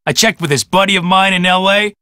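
An actor playing a vain, boastful and defensive police detective in a video game, with an American accent and a self-important, dismissive manner. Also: male voice, high voice, quick pace, pushed and bright, smooth, no echo, clean studio sound. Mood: smug, dismissive. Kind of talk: video game character acting.

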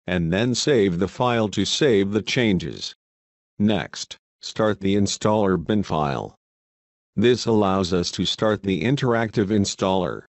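A man reading scripted step-by-step software instructions in a measured way as a tutorial narrator. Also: lowish voice, dry recording.

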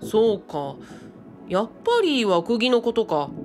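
A woman's voice: on the high side, big pitch swings, takes it slowly, darkish tone, faint music behind. A woman playing a modest, bookish young man in a radio drama, speaking hesitantly and matter-of-factly. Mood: thoughtful.

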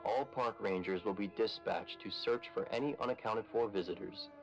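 A man's voice: lowish voice, moderate pace, vocal fry. A man playing a formal, matter-of-fact park ranger training narrator, reading scripted instructions. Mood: formal, impassive. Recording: dull lo-fi vintage training-tape sound. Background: music.

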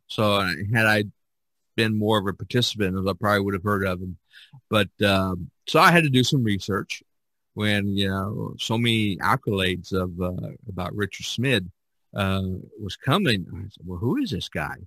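A man hosting a podcast, talking casually in a rambling, halting way. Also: fairly low pitch, sharp tone, no room sound, poor audio. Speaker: podcast host. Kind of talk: casual conversation.

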